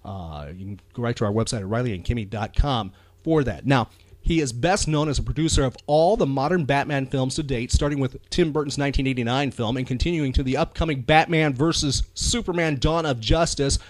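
A man's voice: mid-range voice, fairly quick, no room sound. A man hosting a chatty pop culture podcast, talking with enthusiasm and emphasis, often repeating phrases for effect. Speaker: podcast host.

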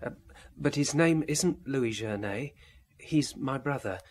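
A meek, defeated man in his thirties, in a radio play. His husky, mid-pitched voice is anxious and halting. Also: lively intonation. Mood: nervous. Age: 30s. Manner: hesitant, stammering. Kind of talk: old radio drama acting.

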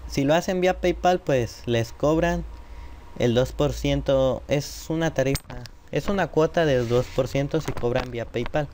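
A man's voice: medium pitch, normal speed, darkish tone. A man narrating an informal screen-recorded tutorial step by step, addressing viewers directly. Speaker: tutorial presenter. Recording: noisy home computer microphone recording.

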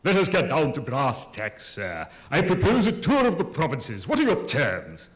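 A man's voice: a little high, wildly swooping pitch, normal speed. A man voicing a plummy, unctuous Victorian theatrical impresario with florid manners, in a comic stage story. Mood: flattering, businesslike.

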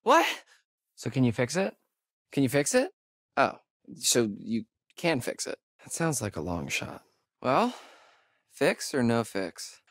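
An actor playing a sarcastic young American camp counselor in a horror video game, hesitant and conversational in delivery. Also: male voice, medium pitch, huge pitch swings, slow, no room sound, clean studio sound, heavily acted. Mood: sardonic. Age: young adult. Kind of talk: naturalistic horror game dialogue.

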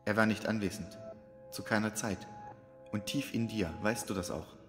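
Man playing an ominous, low, commanding unseen man. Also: fairly even intonation, moderate pace, big-room sound, soft background music, lightly acted. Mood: stern insistence. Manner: urgent, insistent, commanding. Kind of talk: dramatic horror story reading.

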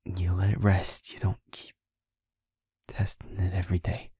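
A man playing a feisty, wounded young werewolf boyfriend in an intimate audio roleplay, breathy and husky, speaking haltingly with heavy pauses. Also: sits low, monotone, mellow, dry recording, clean studio sound, exaggerated voice. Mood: weary, subdued. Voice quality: breathy, husky, often half-whispered.

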